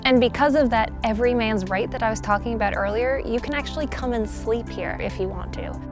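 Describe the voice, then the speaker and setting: A woman's voice: on the high side, brisk, over music. A woman reporting and narrating in the style of a travel documentary.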